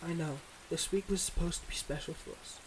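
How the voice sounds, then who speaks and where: A man playing a devoted but guarded mob boss boyfriend in an intimate ASMR roleplay, speaking in earnest, measured confessions. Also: a little high, slight background noise, lightly acted, poor audio. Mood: solemn, regretful.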